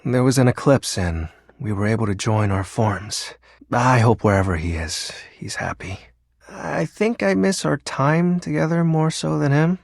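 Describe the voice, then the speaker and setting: A man's voice: mid-range voice, animated, a little slow, vocal fry, dry recording, clean studio sound. A man voicing a brooding, lonely young shadow being in a video game, theatrical and pompous but also awkward and vulnerable. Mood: wistful, downcast. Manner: theatrical, pompous dark proclamations slipping into awkward, vulnerable mumbling. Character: brooding, lonely, melodramatic young male shadow being, edgy internet roleplayer. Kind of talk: video game character acting.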